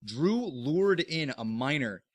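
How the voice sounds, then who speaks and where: A man's voice: on the high side, expressive, slow, no room sound. An actor playing a defiant young man who talks with a sarcastic edge.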